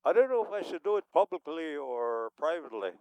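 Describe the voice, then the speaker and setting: A man's voice: fairly high pitch, sing-song, fast, darkish tone, dry recording, rough recording. A man in his seventies giving rambling, unscripted remarks at a community event, chuckling often as he talks. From Manitoba, Canada. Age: 70s.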